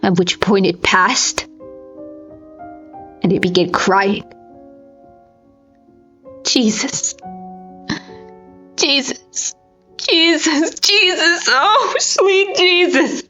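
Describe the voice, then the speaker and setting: High-voiced woman, slow dramatic horror reading. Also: animated, pushed and bright, muffled recording, soft background music. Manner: intense, theatrical, swinging between whispers, cries and anguished outbursts. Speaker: audiobook narrator. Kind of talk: dramatic first-person horror story reading.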